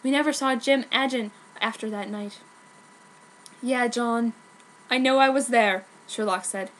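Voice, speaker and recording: A woman's voice: on the high side, a little slow, slight background noise, rough recording. A woman giving a comic dramatic reading of fan fiction in a mock-dramatic, exaggerated manner. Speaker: amateur fan fiction reader.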